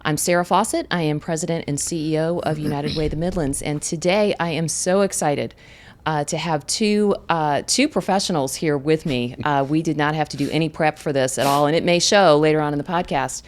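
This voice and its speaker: A woman's voice: sits low, a little fast. A woman hosting a community podcast, introducing guests by reading their backgrounds and asking friendly questions. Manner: reads guest bios, friendly. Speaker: podcast host. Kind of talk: podcast interview.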